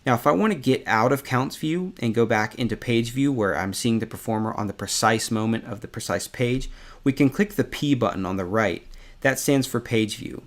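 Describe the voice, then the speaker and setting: A man with an average-pitched voice guides the listener through a software tutorial. He speaks conversationally, explaining each step as an instructor would. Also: normal speed.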